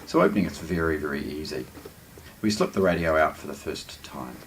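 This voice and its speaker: A man giving a casual hands-on product unboxing commentary, explaining things with hesitant pauses between phrases. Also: very deep voice, slight background noise. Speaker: product presenter.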